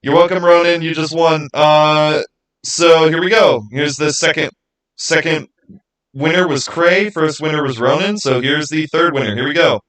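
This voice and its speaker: A man's voice: on the high side, fairly even intonation, normal speed, fairly bright tone, low-quality recording. A man hosting a livestream, chatting informally with viewers and thinking aloud as he goes. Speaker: livestream host. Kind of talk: casual livestream chat with viewers.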